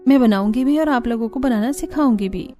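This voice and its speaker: A woman's voice: a little high, fairly quick, dark tone, close to an everyday voice. A woman voicing a kind young daughter-in-law in a cartoon, explaining her traditions politely and earnestly. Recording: music bed under the voice.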